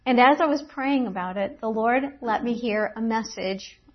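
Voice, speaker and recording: A woman's voice: a little high, normal speed. A middle-aged woman who teaches the Bible to women, sharing her faith testimony in an earnest, reflective way with many restarts. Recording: dull lo-fi recording.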